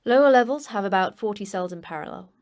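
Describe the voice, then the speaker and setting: Sci-fi podcast drama: actress as unflappable woman boss of a secret facility, brisk and dry, medium register. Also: medium pitch, lively intonation, darkish tone, close to an everyday voice. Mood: dry, deadpan. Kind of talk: audio drama acting.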